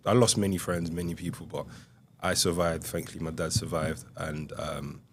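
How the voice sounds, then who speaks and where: A young man from Congo, a podcast guest, telling his life story in a soft, hesitant way with many pauses. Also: deep voice, little pitch movement, gravelly, slight background noise. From Republic of Congo. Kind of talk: reflective interview storytelling.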